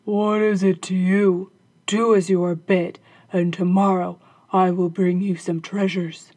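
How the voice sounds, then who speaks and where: A woman doing a loud, cross robber captain. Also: medium pitch, steady tone, a little slow, pitched below the performer's own voice, natural voice.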